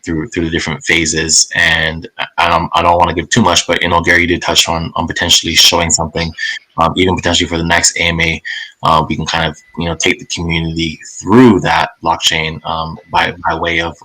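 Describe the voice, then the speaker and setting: A hoarse man who is losing his voice gives a company update, halting and repeating words with many fillers. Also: low-pitched, sharp tone, rough recording. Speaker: company executive giving an update.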